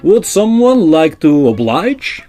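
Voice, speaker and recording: A man playing a smug, teasing opera baritone, arch and mockingly polite in a farce audio drama. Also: very animated, slow pace, warm tone, soft background music.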